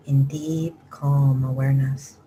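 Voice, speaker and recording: A woman guides a meditation in a low, even voice, her slow phrases often sinking into a whisper. Her tone is mellow and unhurried. A faint hiss sits under the recording. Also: low-quality recording. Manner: slow soft-spoken instructions, drifting into whispers. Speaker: meditation teacher. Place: live group session.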